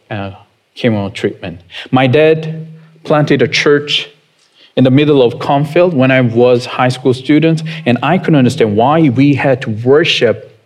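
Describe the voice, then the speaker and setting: A man in his thirties with a Korean accent, preaching a sermon in a chatty way and putting questions to the congregation. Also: mid-range voice. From South Korea. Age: 30s. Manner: unhurried and conversational, chuckling often, asking the congregation questions. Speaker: pastor.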